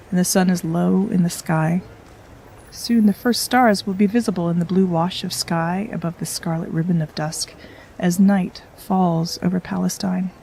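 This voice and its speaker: An actress playing a composed, courteous but firm medical woman in an audio drama, speaking in a measured way. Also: medium pitch, vocal fry, darkish tone, slight background noise, lightly acted, rough recording. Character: composed, polite, firm female medical professional. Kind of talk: audio drama acting with reflective narration.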